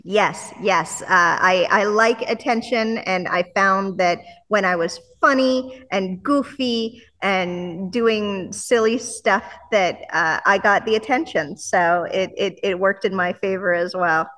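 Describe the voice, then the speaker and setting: A woman's voice: mid-range voice, slow, few pauses, fairly bright tone. A woman from Canada, a chatty and upbeat interview host, speaking inside a renovated church music venue. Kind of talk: casual interview.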